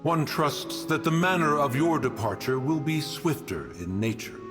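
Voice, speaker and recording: A man's voice: a little high, very animated, a little slow. A man voicing a haughty, ancient guardian immortal in a video game, speaking in a solemn, archaic, formal way. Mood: stern, dismissive. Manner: stately, archaic, formal, refers to self as "one". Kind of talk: scripted video game dialogue. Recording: long reverb with music behind.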